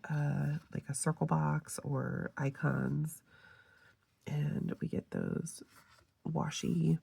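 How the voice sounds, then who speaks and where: A woman getting over a head cold, sounding a little nasal, casually showing off a sticker kit with relaxed, gushing asides. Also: sits low, vocal fry, breathy edge, no room sound. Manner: relaxed, gushing, with fillers and soft trailing asides. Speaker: planner sticker reviewer. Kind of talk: casual show-and-tell narration.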